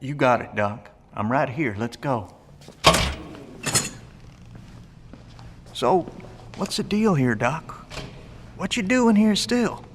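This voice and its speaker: An actor playing a blunt, friendly survivalist in an audio drama, with a folksy, gravelly drawl. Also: male voice, on the high side, lively intonation, measured pace, background noise. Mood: friendly, apologetic. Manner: folksy, colloquial, plain-spoken. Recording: long echoey reverb of an empty hall.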